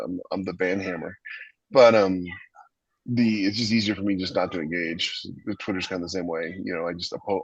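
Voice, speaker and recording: A man's voice: lowish voice, husky, dry recording. A man chatting casually as a co-host on a writers' podcast, in a slightly dull recording. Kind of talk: casual panel chat.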